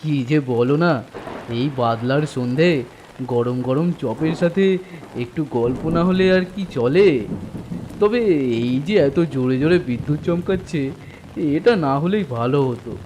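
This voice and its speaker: Man voicing an eager higher-voiced cartoon villager in rain. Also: takes it slowly, long pauses, darkish tone, rough recording. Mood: eager, chatty. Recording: rain noise behind the voice. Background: falling rain.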